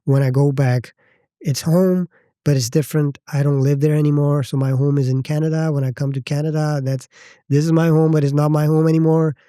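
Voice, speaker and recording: A Pakistani-accented man in his thirties speaks as a podcast guest, his voice mellow and close in a dead, dry space. He talks in a reflective, halting way, often stopping to rephrase. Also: a little high, steady tone, no echo. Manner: thoughtful, halting, circles back to rephrase. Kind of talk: reflective personal storytelling.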